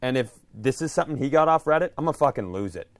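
A man's voice: mid-range voice, lively intonation, rapid-fire. An American man bantering casually and comedically as a podcast co-host.

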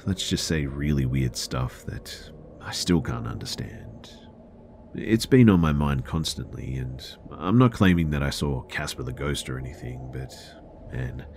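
A man's voice: extremely low pitch, creaky, a little mellow, slight background noise. A man narrating first-person scary stories in a conversational style.

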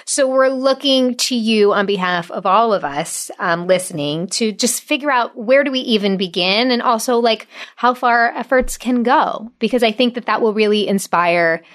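A woman's voice: fairly high pitch, moderate pace, hardly any pauses. A woman co-hosting a parenting podcast, reading an ad and introducing guests with upbeat, gushing chat. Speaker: podcast co-host. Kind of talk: casual chat and read ad.

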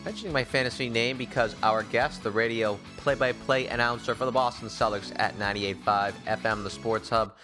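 A man's voice: mid-range voice, fairly even intonation, brisk, hardly any pauses, music in the background. An American man hosting a sports podcast, talking in wordy, rhetorical, ornate phrases as he gives commentary and reads promos.

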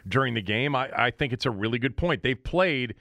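A man's voice: medium pitch, animated, a little fast, bright, forceful tone, no room sound. A man hosting a sports show, talking casually and conversationally about the game. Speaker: sports podcast host.

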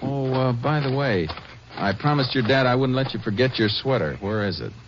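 A man's voice: medium pitch, expressive, normal speed, natural voice. An actor playing a seasoned, fatherly but firm Texas Ranger, plain-spoken in the manner of a 1950s radio western. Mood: kindly. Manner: folksy, firm, questioning. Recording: muffled, noisy 1950s radio recording.

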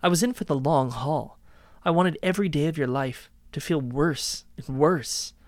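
A man's voice: a little high, no echo, natural voice. Cold, gloating killer ex-boyfriend, acted by a man, dry sound. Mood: smug, menacing. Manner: casual, calmly taunting, unhurried menace. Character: cold, gloating male killer taunting his ex-girlfriend. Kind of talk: dramatic reading of a dark audio story.

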